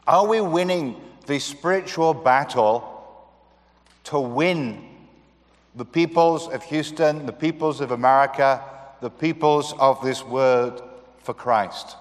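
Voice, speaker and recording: Guest preacher, British man, mid-pitched, earnest, church reverb. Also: takes it slowly. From United Kingdom. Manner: earnest, rhetorical questions to the congregation. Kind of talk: sermon. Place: church sanctuary. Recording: long reverb of a church hall.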